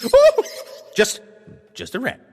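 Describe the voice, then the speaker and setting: Brash small-time hoodlum, high excitable voice, wildly swinging pitch, streetwise, pulp radio drama. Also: male voice, slow pace, creaky, exaggerated voice. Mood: scheming, boastful. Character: cocky, wisecracking small-time male gangster, jumpy and boastful.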